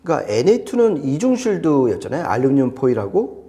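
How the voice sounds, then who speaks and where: A man's voice: mid-range voice, big pitch swings, fast, warm tone, a little hiss or hum. A man chatting through a product review in an enthusiastic, emphatic way. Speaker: audio gear reviewer on a shop's video show.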